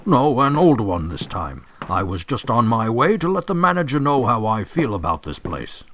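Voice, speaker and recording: An actor playing a polite but determined gentleman heir in an audio drama, courteous and firm. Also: medium pitch, sing-song, moderate pace, a little hiss or hum. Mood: aggrieved.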